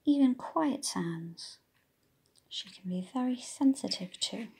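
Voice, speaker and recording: A woman's voice: a little high, expressive, takes it slowly, creaky, heavy background noise. A woman reading a children's story aloud expressively, with engaging, questioning asides like a teacher talking to young listeners. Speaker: audiobook reader for children.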